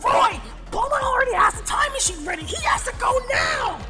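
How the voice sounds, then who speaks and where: A woman's voice: very high-pitched, big pitch swings, brisk, raised voice, loud music behind the voice. A woman playing a cheeky, impatient young girl in an anime fan drama. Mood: urgent. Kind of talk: anime fan-fiction roleplay voice acting.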